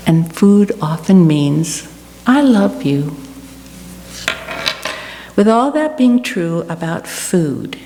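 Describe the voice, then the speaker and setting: A woman giving a church reflection as a guest speaker, deliberate, with pauses and rhetorical repetition. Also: fairly low pitch, dark tone. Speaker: guest speaker for a food charity. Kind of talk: church reflection, delivered as a speech. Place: large church room. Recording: echoey hall with background noise and hum.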